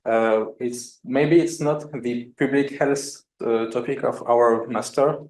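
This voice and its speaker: A man presenting his student work, halting and filling pauses as he answers a question. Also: medium pitch, steady tone, darkish tone. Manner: halting, with many fillers. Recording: roomy hall, faint background noise.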